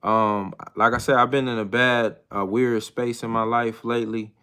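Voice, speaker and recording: A man in his thirties hosting a casual live chat with viewers, talking in a hesitant, reflective way with many pauses and restarts. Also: medium pitch, steady tone, pushed and bright, clear, resonant tone. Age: 30s. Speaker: livestream host.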